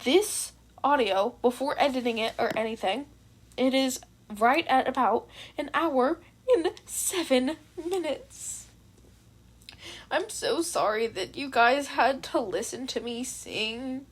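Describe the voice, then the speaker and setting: High-voiced woman reading a story. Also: takes it slowly, slightly rough. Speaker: amateur fan fiction audiobook reader. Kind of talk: scripted story narration with casual asides.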